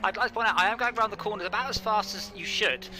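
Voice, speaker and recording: A man's voice: high-pitched, steady tone, quick pace. A man running a racing game stream, chatting casually, teasing and laughing at the other players. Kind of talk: casual gaming banter and commentary. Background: music.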